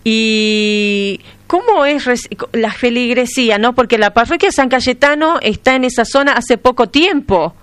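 A woman's voice: a little high, measured pace, fairly bright tone, smooth, dry recording. A woman interviewer, asking questions in a chatty, enthusiastic way. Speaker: radio interviewer. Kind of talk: radio interview.

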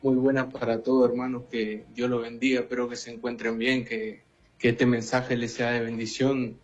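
A man. He often repeats phrases for emphasis at an ordinary male pitch. The recording is somewhat dull and lo-fi, with faint music behind him. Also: flat delivery, normal speed. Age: 20s. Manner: earnest, repetitive, often addressing listener as brother. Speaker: guest lay preacher. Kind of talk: personal testimony and exhortation.

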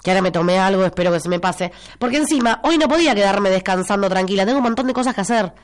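A woman's voice: medium pitch, racing. A chatty young Argentine woman hosting a morning radio show while congested, her nose stuffed up and a cough breaking through now and then. She talks expressively and loops back to repeat her lines for emphasis. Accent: Argentine Spanish. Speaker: radio host. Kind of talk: casual morning radio chat reading listener messages. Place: radio studio.

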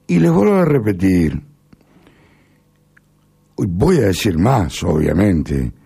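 A middle-aged Argentine man speaking in a rambling, halting monologue with sudden emphatic rises. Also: low voice, sing-song, warm tone, breathy edge. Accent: Argentine Spanish. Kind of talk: casual spoken monologue.

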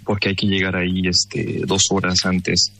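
A man's voice: low voice, monotone, normal speed, vocal fry, pushed and bright. A Mexican man interviewed by phone, answering thoughtfully with many fillers. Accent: Mexican Spanish. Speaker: interview guest.

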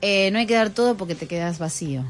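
An Argentine woman with a Rioplatense accent, hosting a radio show in a lively chat, laughing easily. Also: mid-range voice, fast talker, soft background music. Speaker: radio host.

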